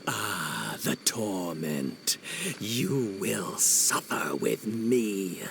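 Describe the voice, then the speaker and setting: A man voicing a theatrical spooky oni performer in a video game, a hammy fellow playing at haunting people, with a creaky voice. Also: lowish voice, expressive, drawn-out words, background noise, heavily acted. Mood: moaning menace.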